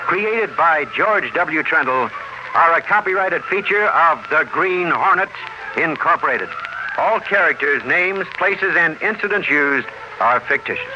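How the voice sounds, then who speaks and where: Male 1940s radio announcer, high register, scripted read, dull vintage recording. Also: animated, a little slow, noisy background. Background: music.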